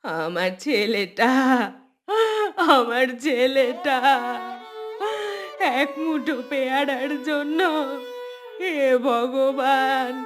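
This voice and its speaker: Woman acting an emotional, slow-speaking cartoon mother. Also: high voice, music in the background. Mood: wailing grief. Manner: drawn-out, emotional pleading. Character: loving, grief-stricken village mother of two.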